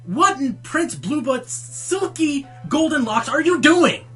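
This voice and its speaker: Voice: high-pitched, sing-song, slow pace, a bit bright, faint music behind, exaggerated voice. An actor playing an exasperated pony radio show host in a comedic radio play, theatrical, incredulous and sarcastic. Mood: baffled, annoyed.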